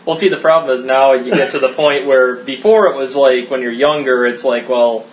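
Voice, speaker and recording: A man's voice: mid-range voice, fairly quick. A man co-hosting a casual podcast, blunt and swearing. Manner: blunt, profane. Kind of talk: casual chat. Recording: echoey room, faint noise.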